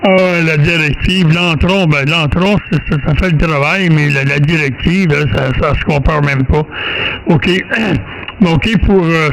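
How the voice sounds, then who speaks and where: Male radio amateur, Quebec French accent, slightly high voice, casual and hesitant, light background hiss. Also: low-quality recording. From Quebec, Canada. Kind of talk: casual radio contact chat.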